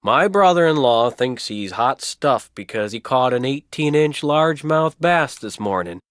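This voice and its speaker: An actor playing a folksy, easygoing small-town printer who loves fishing, chatty in a video game. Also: male voice, mid-range voice, lively intonation, measured pace, a bit bright, no room sound, studio quality. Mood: stubborn, boastful. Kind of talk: video game character acting.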